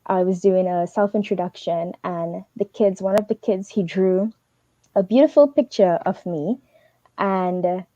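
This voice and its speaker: A young woman from Trinidad and Tobago, giving fast, earnest advice as a teaching assistant on a livestream. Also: medium pitch, warm tone, no room sound, muffled recording. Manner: fast, earnest, advising directly.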